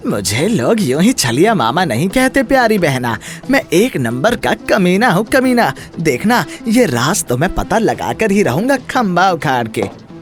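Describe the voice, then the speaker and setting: A man voicing a scheming, wicked village uncle in an animated Hindi moral story, with a theatrical, sly drawl. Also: high-pitched, unhurried, faint music behind. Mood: gloating, scheming.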